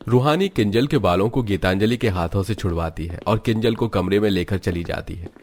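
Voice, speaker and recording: A man's voice: lowish voice, brisk. A man narrating a scripted cartoon family story.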